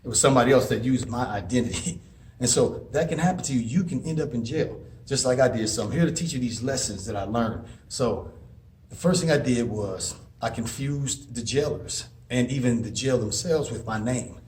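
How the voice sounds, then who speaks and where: Earnest man, mid-pitched, talking advice straight to camera, restarts a lot, faint background hum. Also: normal speed, darkish tone. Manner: earnest, rambling, frequent restarts. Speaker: advice vlogger and former inmate. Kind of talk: casual advice monologue with personal stories.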